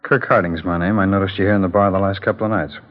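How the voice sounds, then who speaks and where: An actor playing a confident drug dealer in a 1950s radio drama, pressing and smooth. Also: male voice, sits low, steady tone, quick pace, close to an everyday voice. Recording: dull lo-fi old radio broadcast.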